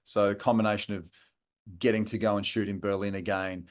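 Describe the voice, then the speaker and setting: Male actor, lively junket answers, lowish voice. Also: brisk. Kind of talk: press junket interview.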